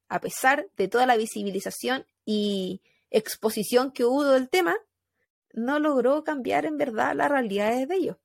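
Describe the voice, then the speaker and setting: A woman with a Chilean accent telling a true crime story in casual podcast chat, animated, with fillers and laughs. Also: high-pitched, no echo, studio quality.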